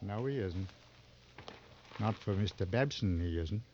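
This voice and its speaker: An actor playing a seasoned, coolly sardonic hunting guide in a mid-century radio drama, giving clipped, authoritative instructions. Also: male voice, lowish voice, lively intonation, a little slow, darkish tone. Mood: bitter. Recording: dull old radio recording, noisy.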